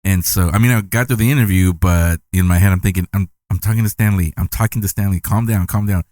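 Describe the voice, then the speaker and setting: A man's voice: sits low, fast talker, darkish tone, no echo, studio quality. A man hosting a podcast, asking long, rambling, enthusiastic questions. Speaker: podcast host. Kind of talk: casual interview chat.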